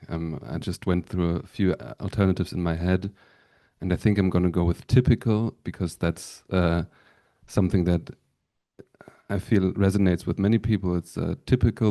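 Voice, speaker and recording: A young man, a student guest, answering haltingly and repeating words. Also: sits low, fairly even intonation, mellow. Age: young adult.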